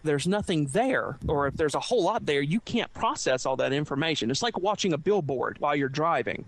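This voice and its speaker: A man on a podcast panel giving informal advice in a measured, explanatory way. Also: a little high, expressive, no echo.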